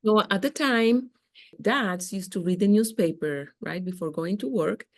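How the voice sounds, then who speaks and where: A woman's voice: medium pitch, measured pace, no echo. A middle-aged woman from Costa Rica, a diplomat, answering interview questions thoughtfully with small repetitions. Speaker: guest diplomat.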